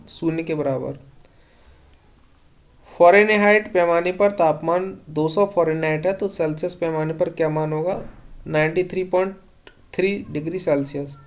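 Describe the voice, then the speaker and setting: A teacher reads out revision questions and gives each short answer in an instructional way. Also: medium pitch. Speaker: exam-prep science teacher. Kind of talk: question-and-answer revision lesson read from notes.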